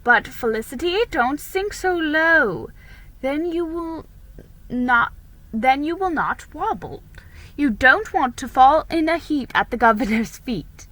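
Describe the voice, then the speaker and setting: A woman voicing a prim colonial teacher of manners in a storybook, giving precise, measured instruction. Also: high-pitched, expressive, fairly bright tone, voice pushed up, exaggerated voice. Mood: patient, correcting.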